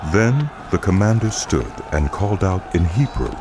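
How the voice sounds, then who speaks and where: Extremely deep, gravelly male narrator. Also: sing-song. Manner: solemn, measured storytelling. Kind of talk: scripted narration of dramatized scripture. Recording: noisy outdoor ambience behind.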